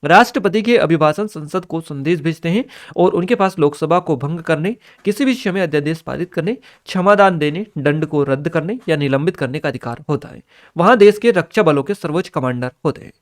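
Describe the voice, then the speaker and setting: A man with a somewhat high-pitched voice teaches for an exam. He reads each question out from his notes, then explains the answer in an instructional manner, now and then checking that listeners follow. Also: lively intonation, a little fast. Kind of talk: exam paper analysis lesson, reading out questions and explaining answers.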